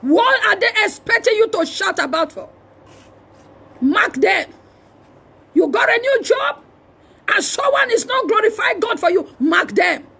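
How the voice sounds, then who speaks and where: Shrill, shouting Nigerian woman preacher. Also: expressive, measured pace, creaky, pauses often. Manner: forceful exhorting with emphatic repeated phrases; shouting delivery. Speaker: preacher and prophet. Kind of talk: fiery charismatic sermon.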